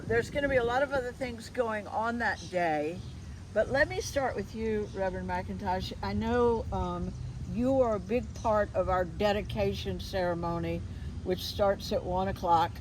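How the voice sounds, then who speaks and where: A woman hosting a casual interview, talking informally and somewhat haltingly as she thinks aloud. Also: mid-range voice, noisy background. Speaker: interviewer, host.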